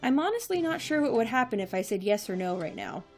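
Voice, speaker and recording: A woman acts an irreverent young director in an audio drama. She is wry and sarcastic in a casual way, her voice a little high, with faint music behind. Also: big pitch swings, fast, a little mellow. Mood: frank, sardonic.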